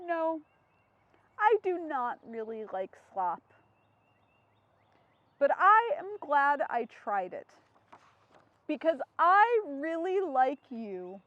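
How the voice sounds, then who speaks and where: A woman voicing a reluctant, kind, cautious male elephant from a picture book, in a hesitant, drawn-out way. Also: high-pitched, very animated, a bit bright, no room sound, dull audio, heavily acted. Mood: gentle, honest. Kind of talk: children's storybook read-aloud.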